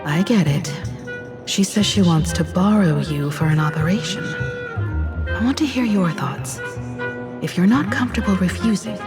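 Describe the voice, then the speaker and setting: A woman's voice: medium pitch, animated, normal speed, lightly acted. A woman playing a composed, protective navigator in a video game, with a poised, polite and direct delivery. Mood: firm, supportive. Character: composed, protective adult female starship-train navigator. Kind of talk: English video game voice acting. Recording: music under the voice.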